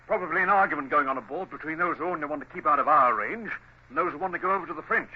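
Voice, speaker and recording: An actor playing an eager, deferential junior naval officer in a vintage radio drama. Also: male voice, a little high, rapid-fire, husky. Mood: amused, watchful. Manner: animated, deferential. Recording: dull, faintly noisy old radio recording.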